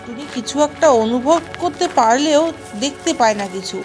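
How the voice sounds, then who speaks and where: High-pitched woman storyteller. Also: normal speed, a little mellow, soft background music. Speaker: narrator. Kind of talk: scripted children's story narration.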